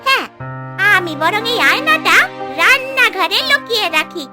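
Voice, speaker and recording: A woman's voice: very high voice, expressive, moderate pace. A woman voicing a sly witch who mutters her secret schemes theatrically in a fairy-tale cartoon. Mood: menacing, anxious. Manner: theatrical, scheming self-talk. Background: music.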